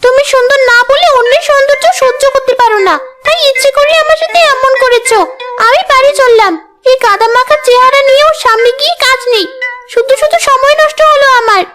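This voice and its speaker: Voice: very high-pitched, fairly quick. A cartoon voice playing a vain, bossy young village woman, dramatic and complaining, with music behind. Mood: whiny, blaming. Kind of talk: cartoon character dialogue.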